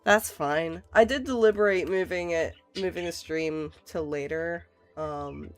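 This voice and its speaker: Woman streamer, hoarse and raspy, gravelly voice, laughs a lot, faint music behind. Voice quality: raspy, lower than usual. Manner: laughs often, reassuring, rambling. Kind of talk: casual chat while drawing.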